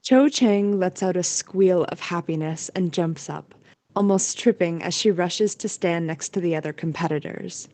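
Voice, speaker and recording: A woman's voice: fairly low pitch, normal speed, mellow, no room sound. A woman narrating a scripted audio drama as its storyteller.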